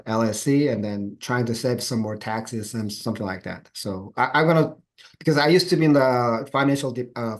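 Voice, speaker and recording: A middle-aged man talking as an interview guest, halting with frequent restarts and laughs. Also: medium pitch. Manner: halting phrasing with many restarts, laughs often. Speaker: guest sharing his business plans. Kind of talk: casual interview conversation.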